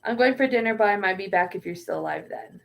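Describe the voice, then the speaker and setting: A woman's voice: medium pitch, animated, quick pace, vocal fry. A young woman streaming and casually answering chat, often trailing off while searching for words.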